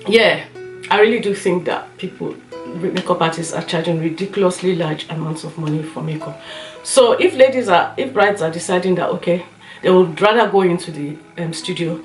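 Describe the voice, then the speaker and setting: A woman with a slightly hoarse voice, chatting casually as a vlogger giving her opinions and telling anecdotes. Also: fairly low pitch. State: slightly hoarse, recovering from a cough. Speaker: YouTube lifestyle and beauty vlogger. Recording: roomy echo, light music underneath.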